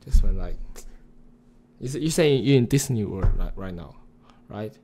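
A man's voice: fairly low pitch, lively intonation, moderate pace, dark tone. Stammering young man full of slang. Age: young adult.

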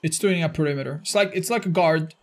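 A man in his thirties doing excitable live gameplay commentary for a chat, exclaiming and repeating phrases for emphasis. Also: fairly high pitch, quick pace. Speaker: video game streamer. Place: indoor streaming room.

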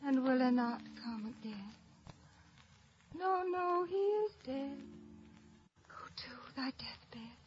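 Crazy grieving young girl in old radio shakespeare, high and slow, muffled recording. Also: lively intonation, darkish tone. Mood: mournful, broken. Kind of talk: 1950s radio Shakespeare acting. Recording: dull vintage 1950s radio recording.

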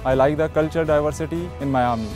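A young man from Pakistan presents a student video in a brisk, rehearsed way. He talks fast at a raised pitch. Music plays clearly under his voice. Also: fairly even intonation. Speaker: student co-host.

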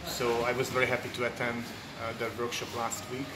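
Man, 40s, from Hungary, jiu-jitsu coach, flat thoughtful delivery, echoey gym. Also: medium pitch, a little fast. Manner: earnest, thoughtful, many ums and uhs. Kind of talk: casual testimonial to camera. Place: large indoor martial arts gym with mats and people training. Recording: echoey gym with loud background noise.

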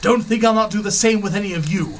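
A man's voice: sits high, fast talker, faint music behind, natural voice. A man playing an arrogant, scheming explorer, speaking in a pompous, boastful, theatrical 1930s radio-serial manner.

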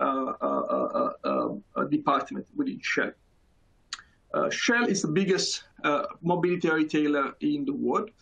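A man's voice: on the high side, vocal fry, a bit bright. A man in his thirties with a Neapolitan Italian accent, giving a conference talk over a video call with many fillers and restarts. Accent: Italian, from Naples. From Italy. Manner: halting, many fillers and repeated words. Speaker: conference speaker. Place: small room indoors. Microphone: video call webcam.

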